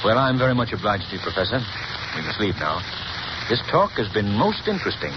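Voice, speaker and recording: A man playing a composed, suave gentleman detective in a 1930s radio drama. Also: fairly low pitch, huge pitch swings, a little fast, highs cut off. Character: cool, confident gentleman detective. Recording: scratchy 1930s radio broadcast recording.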